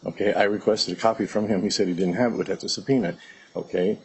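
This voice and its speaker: A man's voice: on the low side, fairly even intonation, fast talker, poor audio. A man arguing his case in a courtroom and questioning a witness, insistent as he explains. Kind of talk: courtroom argument.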